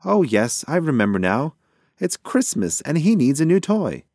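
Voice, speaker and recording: A man voicing an excitable, muddle-headed, fussy male pigeon in a children's storybook, blustering and exclaiming. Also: on the high side, moderate pace, dry recording, studio quality, pitched above the performer's own voice. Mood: startled.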